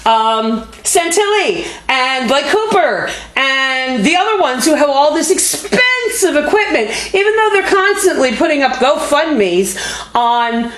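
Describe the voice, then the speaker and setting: High-voiced woman making an emphatic appeal, echoey room. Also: sing-song, a little slow. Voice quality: loud voice. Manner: emphatic, indignant, folksy direct address. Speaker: activist video host and fundraiser. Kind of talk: impassioned unscripted appeal to viewers. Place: motel room. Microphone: small video camera. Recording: echoey room, homemade camera audio. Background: background music.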